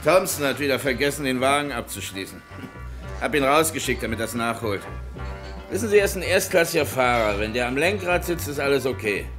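Man playing gruff, low-voiced trucker, old radio play. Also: expressive, fairly quick, over music, close to an everyday voice. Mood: businesslike. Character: gruff, talkative male long-haul trucker. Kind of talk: vintage German radio crime play acting.

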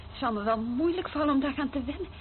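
High young female voice, actress playing curious uneasy trainee, earnest, questioning, old radio drama, phone-like sound. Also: fast, slight background noise, close to an everyday voice. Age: young adult. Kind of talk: radio play acting. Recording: tinny band-limited old radio recording.

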